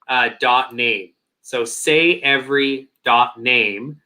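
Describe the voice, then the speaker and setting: An earnest man with a bright, forceful tone, co-hosting a casual review chat. He halts and restarts often, and his voice is wearing thin. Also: medium pitch. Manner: earnest, halting, many "um" and "uh" restarts. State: losing his voice. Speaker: podcast co-host.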